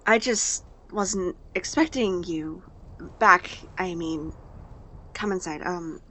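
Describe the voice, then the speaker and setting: A woman's voice: on the low side, slow, bright, forceful tone, a little hiss or hum, poor audio. A woman playing a hurt, guarded young woman in an audio drama, flustered and pointedly sarcastic. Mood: surprised, flustered. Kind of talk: naturalistic audio drama acting.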